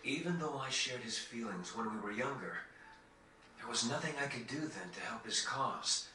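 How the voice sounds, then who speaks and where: A man acting a dutiful, earnest young prince in a video game, speaking in a formal, measured, regretful way. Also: medium pitch, animated, pushed and bright. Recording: echoey, distant, over game music.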